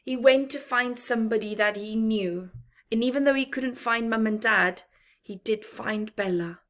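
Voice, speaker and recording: A woman reading a picture book aloud to young children, slow and deliberate, with dramatic, hushed storytelling delivery. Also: a little high.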